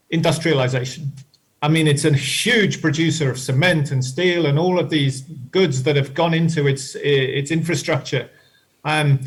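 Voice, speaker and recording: A man with a fairly high voice gives an analytical answer on a panel. He is measured and explanatory, reworking his phrases as he thinks through the points. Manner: measured, explanatory, rephrases as he goes. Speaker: expert panelist.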